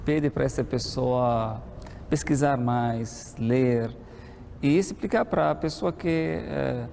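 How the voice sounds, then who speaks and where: Gravelly male explainer, echoing hall, noisy. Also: mid-range voice, slow, mellow. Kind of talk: explanatory talk.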